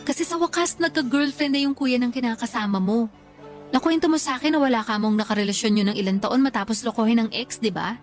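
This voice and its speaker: A woman's voice: fairly high pitch, fast talker, natural voice. A woman playing a frank, teasing best friend in a Tagalog radio drama, talking in playful slang. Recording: music behind the voice.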